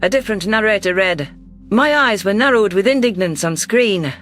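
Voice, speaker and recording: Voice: medium pitch, expressive, moderate pace, a bit bright, faint music behind. A narrator reading a first-person science fiction story in a scripted, audiobook style.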